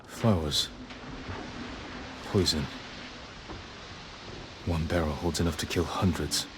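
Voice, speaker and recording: A low, solemn samurai warrior in a game cutscene. He speaks tersely and with measured resolve, over clear background ambience. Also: steady tone, noisy background. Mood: grave resolve. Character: grave, resolute male samurai warrior plotting battle. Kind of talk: video game cutscene acting.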